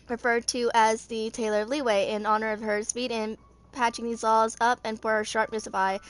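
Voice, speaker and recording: A woman in her twenties reading game lore aloud in a halting, stumbling way, as an amateur video creator. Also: on the high side. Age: 20s.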